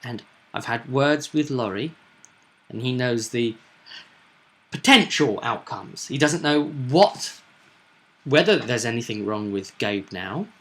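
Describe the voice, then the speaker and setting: A man with a bright, forward voice talks casually as a game master. He retells a tabletop roleplaying session like a story, leaning hard on key phrases for dramatic effect. His pitch sits in the middle of the male range. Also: lively intonation, slow pace.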